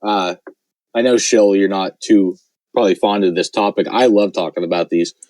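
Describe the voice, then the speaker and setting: Man, podcast co-host, lowish voice, hoarse and fading, rambling, dry close sound. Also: fairly even intonation, moderate pace, a little mellow, dry recording. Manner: rambling, lots of fillers. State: losing his voice. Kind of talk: casual chat.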